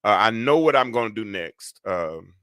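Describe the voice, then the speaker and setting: Deep-voiced rambling man hosting a live show. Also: animated, normal speed, a bit bright, no room sound, clean studio sound. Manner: rambling, many restarts and fillers. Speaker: host. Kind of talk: casual live-stream talk.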